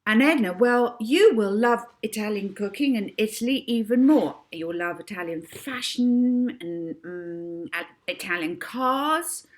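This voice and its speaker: Woman voicing a bold, bright storybook girl. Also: medium pitch, animated, a little slow, hardly any pauses, pitched above the performer's own voice, close to an everyday voice. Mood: confident, improvising. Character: bold, cheerful young girl hypnotist giving orders.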